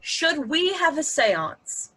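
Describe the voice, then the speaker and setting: Actress doing a snarky young woman, high bright voice, lively swings, stage comedy. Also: slow pace, exaggerated voice. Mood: sarcastic. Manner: sarcastic, quippy. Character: sarcastic wisecracking young woman, Reese. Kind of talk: table-read stage comedy acting.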